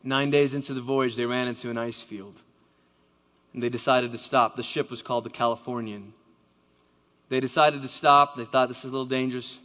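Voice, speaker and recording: A man's voice: mid-range voice, fairly even intonation, fairly quick, a bit bright. Man telling a story in a hall. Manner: dramatic storyteller acting out quoted voices. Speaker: speaker. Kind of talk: storytelling talk to a live audience. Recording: long hall reverb.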